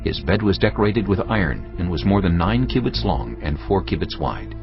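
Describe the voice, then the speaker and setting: Very deep-voiced male narrator. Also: very animated, moderate pace, highs cut off, music in the background. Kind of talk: scripted scripture narration.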